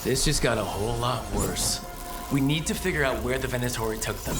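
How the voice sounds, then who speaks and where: A man's voice: mid-range voice, fairly quick, very noisy, close to an everyday voice. An actor playing a level-headed, determined male adventurer hero in a video game, protective and grim. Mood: grim, resolved.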